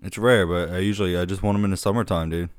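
A man's voice: low voice, fairly even intonation, fast, clear, resonant tone, no room sound. A man co-hosting a podcast in a casual, laid-back way, often calling his co-host dude.

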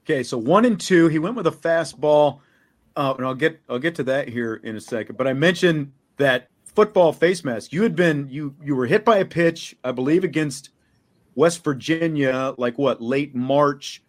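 A man's voice: fairly high pitch, normal speed. A man speaking as a sports show host, asking questions in a conversational, unscripted way.

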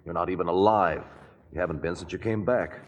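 Man acting earnest lawyer, low pitch, courteous, slightly hesitant, echoing 1940s radio drama. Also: fairly quick, muffled recording, slight background noise, natural voice. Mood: earnest, pleading. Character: earnest, devoted small-town lawyer and modest suitor. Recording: old 1940s radio broadcast, reverberant.

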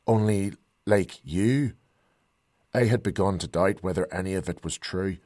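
A hesitant, earnest young woman from a storybook, speaking softly with a wistful edge, as a male narrator puts her on. Her voice sits raised yet stays husky and low-set for a woman, and it sounds dry and close with no room around it. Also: a little slow, no echo.

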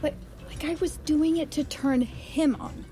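A woman's voice: sits high, steady tone, measured pace, mellow, faint music behind. An actress playing a chatty, candid wife who confides in her girlfriends, expressively, in an audio drama. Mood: confiding, exasperated. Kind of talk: naturalistic audio drama dialogue.

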